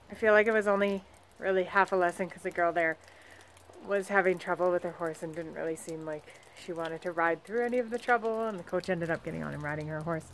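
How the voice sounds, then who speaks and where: A young woman in her twenties, talking in a relaxed, chatty way as she films herself outdoors at a barn. She holds the phone out at arm's length. A faint outdoor hiss sits behind her voice, with horses moving about nearby. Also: medium pitch. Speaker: vlogger, horse trainer. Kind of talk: casual vlog chat and voice-over. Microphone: phone held at arm's length.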